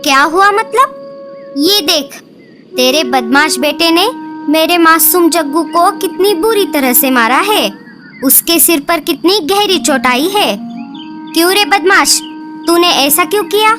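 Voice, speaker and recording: A woman voicing an angry mother bird in a cartoon who shouts and scolds loudly. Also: very high-pitched, expressive, slow pace, exaggerated voice. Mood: furious. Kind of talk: Hindi cartoon voice acting. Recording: loud background music.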